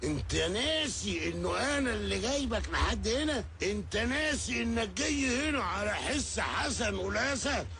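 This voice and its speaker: A bumbling family man in a radio comedy, loud, fast and pleading with an Egyptian accent. His voice is high, gravelly and swings widely. Clear noise runs behind him. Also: heavily acted. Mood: excitable. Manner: excitable, shouting, pleading. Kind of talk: radio comedy serial acting.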